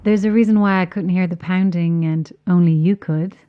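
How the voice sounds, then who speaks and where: An actress playing a cold, taunting caller over a radio in a horror audio drama, measured and ominous. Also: female voice, lowish voice, fairly even intonation, dull audio. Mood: insistent. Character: cold, menacing female caller, insistent and taunting.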